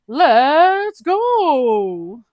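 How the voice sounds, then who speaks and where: A narrator voicing a reckless, eager fantasy adventurer with a loud, drawn-out delivery. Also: sits high, sing-song, dry recording, lo-fi sound, voice pushed up, exaggerated voice.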